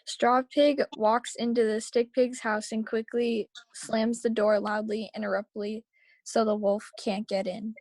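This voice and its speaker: A woman's voice: a little high, flat delivery, a little slow, darkish tone, no room sound, rough recording. A woman reading scripted story action aloud at a table read over a video call. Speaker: reader of action lines. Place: remote video call, close dry sound.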